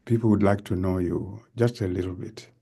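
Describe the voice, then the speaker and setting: A man's voice: low-pitched, fairly even intonation, a little fast, vocal fry, dark tone, breathy edge. A man hosting an interview show, chatting with his guest in an enthusiastic way. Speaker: host. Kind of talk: interview chat.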